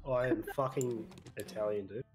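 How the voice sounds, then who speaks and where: A man's voice: fairly low pitch, steady tone, normal speed, a little mellow, slight background noise. A young man bantering crudely with friends over a game, playful and prone to singing snatches. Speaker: gamer on voice chat. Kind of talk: casual banter.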